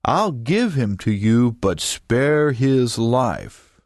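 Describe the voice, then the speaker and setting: A man's voice: mid-range voice, very animated, very slow, darkish tone, dry recording, clean studio sound, exaggerated voice. A man voicing a stern, commanding God from a preached Bible story, declamatory in manner. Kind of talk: audiobook character voice.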